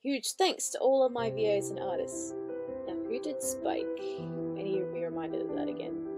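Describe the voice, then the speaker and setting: A woman's voice: mid-range voice, lively intonation, moderate pace. A woman giving casual, enthusiastic reaction commentary as the host, with music behind her.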